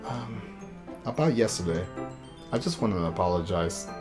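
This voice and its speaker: A man livestreaming a game, chatting casually in slangy, animated commentary and reading on-screen text aloud. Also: sits low, moderate pace, over music.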